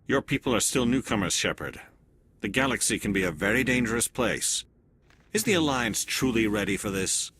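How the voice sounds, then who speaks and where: An actor playing a cool, probing alien male Spectre agent in a video game cutscene, speaking in a measured, insinuating way. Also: on the low side, animated, background noise, lightly acted. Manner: measured, insinuating, slow deliberate phrasing.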